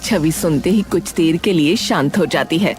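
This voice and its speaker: A woman's voice: sits low, moderate pace. A woman narrating a scripted story as a storyteller. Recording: music bed under the voice.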